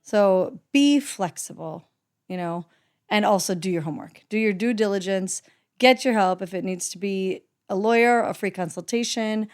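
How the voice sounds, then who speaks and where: A woman's voice: medium pitch, normal speed, smooth. A woman co-hosting a podcast, giving casual, encouraging advice and laughing often. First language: English. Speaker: podcast co-host. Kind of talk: casual chat.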